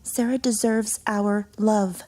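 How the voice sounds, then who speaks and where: A woman's voice: mid-range voice, steady tone, slow pace, mellow. An actress playing a determined woman who speaks earnestly and indignantly about adopting a girl.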